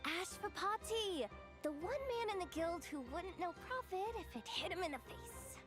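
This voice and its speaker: An actress voicing a brisk, money-minded but kind young guild woman in a game, with casual, teasing delivery. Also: very high voice, expressive. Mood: scornful, teasing. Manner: casual, teasing, hesitant asides. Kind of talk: animated game voice acting. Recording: background music under the voice.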